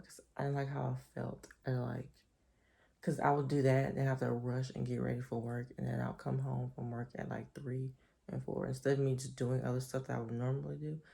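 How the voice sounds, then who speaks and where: A sleepy woman with a deep voice, chatting to her camera at home in a rambling, reflective way. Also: gravelly. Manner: reflective, rambling, soft-spoken. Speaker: vlogger. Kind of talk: casual chat to camera. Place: top-floor apartment at home. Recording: echoey room.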